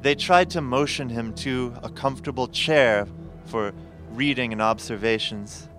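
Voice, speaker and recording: An actor playing a grave, formal professor who narrates a horror audio drama in a measured, literary way. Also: male voice, medium pitch, fairly bright tone, soft background music, natural voice. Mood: grave, recounting.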